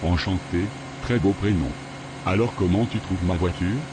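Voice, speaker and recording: A man playing a haughty, boastful rich bachelor in an animated drama, with a pompous manner. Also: low-pitched, normal speed, noisy background, lightly acted. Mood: suave. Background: music.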